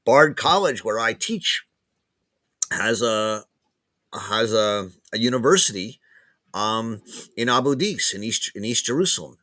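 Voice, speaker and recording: A man, a guest professor, talking casually in an interview, pausing and repeating words as he thinks aloud. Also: lowish voice, animated, sharp tone, dry recording. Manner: hesitant, many restarts and repeated words.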